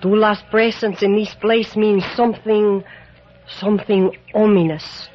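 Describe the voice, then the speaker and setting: A woman's voice: mid-range voice, flat delivery, unhurried, close to an everyday voice. A woman playing a grave, pessimistic guide with a formal, foreboding manner in an old radio drama. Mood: ominous. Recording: muffled, noisy vintage radio recording.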